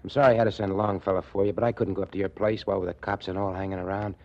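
An actor playing a cocky, folksy tough gang boss in slangy, ungrammatical talk, in 1940s radio style. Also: male voice, low-pitched, little pitch movement, rapid-fire, creaky. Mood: protective, insistent. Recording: muffled old 1940s radio recording.

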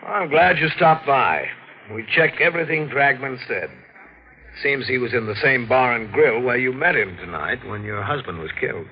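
An actor playing a blunt, skeptical police detective, clipped and matter-of-fact, in 1940s radio mystery style. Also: male voice, medium pitch, expressive, moderate pace, close to an everyday voice. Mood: measured. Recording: dull old radio recording.

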